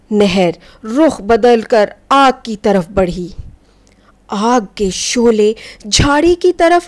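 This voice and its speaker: A woman storyteller giving a scripted, expressive narration of a children's folk tale in an instructive way. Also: high voice. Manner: expressive, didactic, addressing children.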